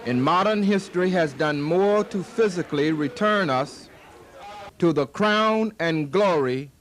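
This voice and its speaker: A man hosting an event and giving a solemn, measured tribute introduction. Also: fairly high pitch, fairly bright tone. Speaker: event host.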